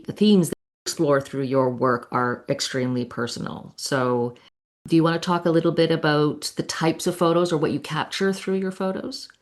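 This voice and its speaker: A woman speaks as a podcast host in an interview. Her voice is low for a woman, and she phrases her questions in a conversational way. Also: fairly even intonation, normal speed.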